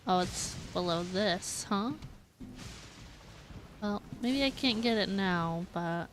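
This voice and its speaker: A young woman chatting casually as she comments live on a game, expressive and giggly. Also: medium pitch, slow, slight background noise. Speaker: game streamer.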